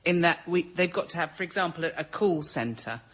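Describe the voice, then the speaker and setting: A woman's voice: lowish voice, animated, a little fast. A woman complaining comically as a panel show guest in a conversational, wry, exasperated way.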